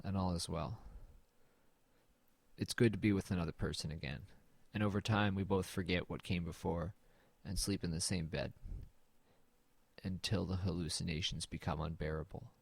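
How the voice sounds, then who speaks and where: A man's voice: deep voice, creaky, no echo. A man playing a weary, haunted man who narrates his own horror story in a glum, understated way in an audio drama. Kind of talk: first-person scripted audio drama narration and dialogue.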